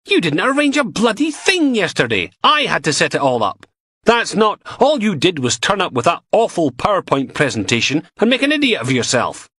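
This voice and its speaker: A man's voice: low voice, moderate pace, fairly bright tone, no echo, studio quality. A man voicing a tired, sarcastic male detective sergeant. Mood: weary. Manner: sardonic, defiant.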